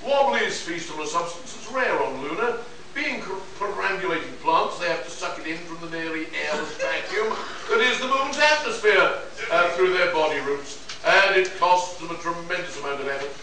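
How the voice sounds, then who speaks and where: A man's voice: on the high side, expressive, normal speed, pushed and bright. A man playing a know-it-all scientist detective in an amateur live radio play, lecturing condescendingly and stumbling over the script. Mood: grave, explaining. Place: convention room, distant microphone. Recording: noisy echoey hall recording from far off.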